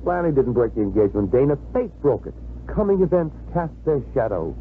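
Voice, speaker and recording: A confident young fiancé in a vintage radio drama played by an American man, bantering and stubborn. His medium-pitched voice swings expressively with wry teasing. The recording is thin and muffled, with faint hiss. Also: very animated, brisk, natural voice. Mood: wry, assured. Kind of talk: 1950s radio drama acting.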